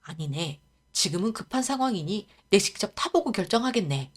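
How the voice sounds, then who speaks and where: A woman voicing a young prince who speaks calmly and graciously in a storybook reading. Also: medium pitch, fairly quick. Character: young male prince, calm and kindly commanding.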